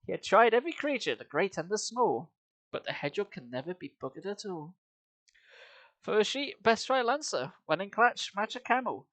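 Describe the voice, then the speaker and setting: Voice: medium pitch, big pitch swings, moderate pace, fairly bright tone, dry recording, low-quality recording. A streamer reading comic verse live in an amused, lilting singsong, with chatty asides.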